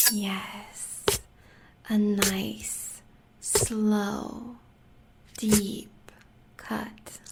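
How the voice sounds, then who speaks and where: Slow, sadistic American huntress villainess. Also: female voice, mid-range voice, vocal fry, noisy background. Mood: sadistic relish. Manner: taunting, savoring words slowly, often dropping to a whisper. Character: sadistic, seductive huntress lover of a werewolf villain. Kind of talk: audio roleplay villain acting.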